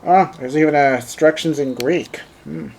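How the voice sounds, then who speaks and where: A man's voice: on the high side, moderate pace, gravelly, slight background noise, low-quality recording. A man whose first language is German, speaking English with a German accent while unboxing and reviewing a handheld, thinking aloud with many fillers. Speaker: gadget reviewer.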